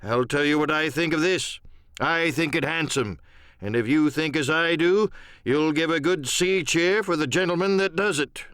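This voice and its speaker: A man's voice: fairly high pitch, normal speed, fairly bright tone, clean tone, no room sound, voice pushed up, close to an everyday voice. A man playing a stern, plain-spoken middle-aged ship's captain in an audiobook drama, firm and clipped in manner. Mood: hearty, commanding. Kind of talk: audiobook radio-play character acting.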